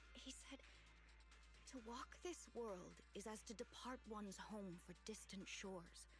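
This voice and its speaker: A woman voicing an earnest, worried young disciple in a video game, telling her story in a measured way. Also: mid-range voice, lightly acted, low-quality recording. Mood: solemn, quoting. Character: injured, worried young female disciple recounting a rescue. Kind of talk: dramatic game voice acting.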